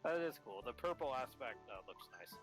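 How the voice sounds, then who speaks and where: A man's voice: medium pitch, animated, brisk. A young man chatting casually as a let's play co-host, informal and a bit hesitant in his phrasing. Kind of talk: casual chat. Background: game music.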